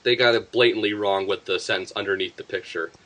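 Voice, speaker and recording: A man's voice: on the low side, steady tone, quick pace, a bit bright. A man co-hosting a casual group commentary, giving blunt, opinionated takes on a list.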